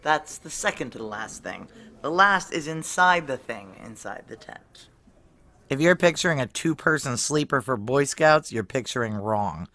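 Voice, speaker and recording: A woman playing a gruff, world-weary ex-Ranger security chief in an audio drama, brusque, wry and commanding. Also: very deep voice, animated, normal speed, a bit bright, heavily acted. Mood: commanding, dry. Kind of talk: naturalistic audio drama acting with first-person narration.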